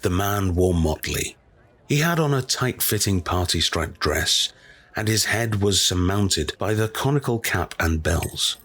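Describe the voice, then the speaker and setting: A man narrating a classic tale in a dramatic reading, measured, solemn and deliberate. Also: sits low, animated, fairly bright tone.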